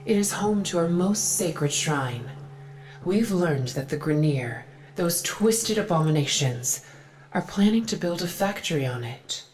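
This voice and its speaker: Solemn female game quest-giver, devout zealot, slightly low voice, very measured pace. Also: lively intonation, a little mellow, slight background noise. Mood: grave, urgent. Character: reverent, solemn woman zealot devoted to a sacred forest. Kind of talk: dramatic video game voice acting.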